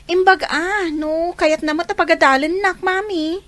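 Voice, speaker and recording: A woman's voice: very high voice, steady tone, measured pace, fairly bright tone. A woman playing a cheeky, money-minded young daughter in a radio drama, with an Ilocano accent. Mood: cheeky, bargaining.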